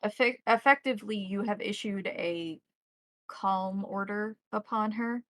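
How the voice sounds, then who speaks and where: A woman's voice: medium pitch, a little slow, a bit bright, no room sound. A woman narrating a tabletop roleplaying game as game master, checking back with her players as she goes.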